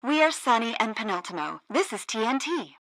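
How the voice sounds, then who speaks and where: A woman's voice: fairly high pitch, very animated, a little fast, fairly bright tone, dry recording. An actress playing a breezy, perky female hippie radio co-host with theatrical DJ patter in a satirical video game. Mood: upbeat, breezy.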